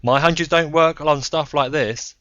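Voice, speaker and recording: A man voicing a gruff, cornered, desperate man in an audiobook story, blunt and emphatic. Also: fairly high pitch, unhurried, fairly bright tone, no echo, highs cut off, voice pushed up. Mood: grim.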